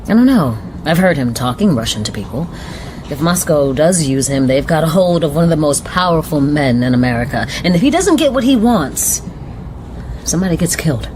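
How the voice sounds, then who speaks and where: A woman playing a composed but fearful woman on the run in a radio drama, grave and measured. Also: big pitch swings. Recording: noisy radio drama, train rumble behind.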